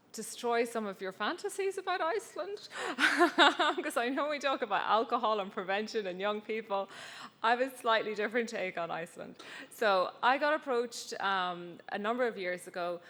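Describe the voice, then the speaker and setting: A woman presents her research at a conference, her talk relaxed and conversational with plenty of ums and self-deprecating asides. Her voice sits at a middling pitch and carries a long reverb from the hall around her.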